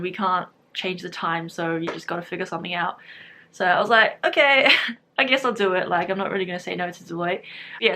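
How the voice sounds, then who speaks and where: A woman's voice: medium pitch, fairly bright tone. A young woman in her twenties with a New Zealand accent, chatting casually to camera, full of fillers and frequent laughs. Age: 20s. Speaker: vlogger.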